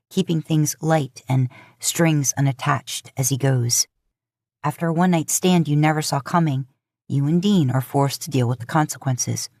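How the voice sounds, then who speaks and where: A woman narrating scripted romantic fiction in a measured, expressive storytelling manner. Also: sits low, dry recording, studio quality. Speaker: podfic narrator.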